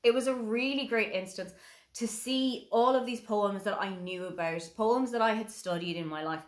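Woman, Irish accent, 30s, earnest book reviewer, medium pitch, echoey room at home. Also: moderate pace. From Ireland. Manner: earnest, enthusiastic recommending. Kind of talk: casual book review to camera. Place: small room at home with bookshelves. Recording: roomy home recording.

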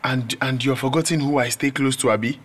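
A man's voice: medium pitch, fairly even intonation, fairly quick, close to an everyday voice. An actor with a Nigerian accent playing a weak, timid young male student, hesitant and plaintive, in a radio drama. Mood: groggy, worried.

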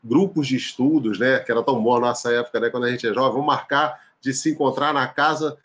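A man's voice: mid-range voice, fairly even intonation, quick pace, fairly bright tone. A man in his forties from Rio de Janeiro, answering interview questions as a teacher over a video call from home. He talks expansively and often adds tag questions. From Rio de Janeiro, Brazil. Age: 40s. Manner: expansive, gestures freely, frequent tag questions. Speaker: teacher and musician, guest. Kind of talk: relaxed conversational interview answers. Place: home room, indoors. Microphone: video call, laptop webcam.

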